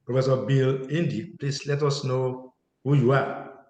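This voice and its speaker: A man's voice: medium pitch, fairly even intonation, measured pace, echoey room. A man moderating an online panel over a video call, introducing speakers with many hesitations. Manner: hesitant, many fillers, warm thanks to panelists.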